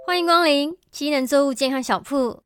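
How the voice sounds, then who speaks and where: A woman playing a patient, friendly young shop clerk in an amateur radio drama, gently encouraging as if to a child. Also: high voice, a little fast, music in the background, close to an everyday voice. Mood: friendly, helpful.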